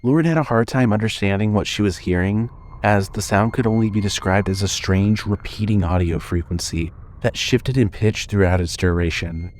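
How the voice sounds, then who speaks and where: Narrator, male: fairly low register, scripted horror storytelling, light background ambience under the voice. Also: a little mellow, a little hiss or hum.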